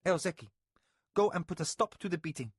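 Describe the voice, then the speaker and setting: Man playing a stern lady of the house, clipped and bossy, raised pitch, slightly husky. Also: expressive, brisk, dry recording, studio quality. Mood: commanding. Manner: curt, commanding. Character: stern noblewoman mistress of an estate.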